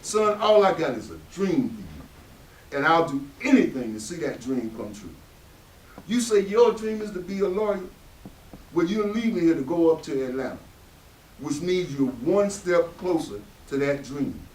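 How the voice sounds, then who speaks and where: A man's voice: a little high, big pitch swings, normal speed, darkish tone, a little hiss or hum. A man with a Southern American accent playing an embittered, protective father in a stage reading, in emphatic folksy speech. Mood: earnest, tender. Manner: emphatic, folksy vernacular speech with dramatic swells. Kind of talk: stage readers theatre acting. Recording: echoey room, distant stage microphone.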